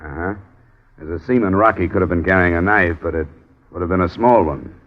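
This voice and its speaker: Low-voiced, hard-boiled American investigator. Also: male voice, brisk. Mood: challenging. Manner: clipped, questioning, hard-boiled. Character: tough, persistent American insurance investigator. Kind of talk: 1940s-50s radio detective acting and narration. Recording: dull vintage radio recording with music.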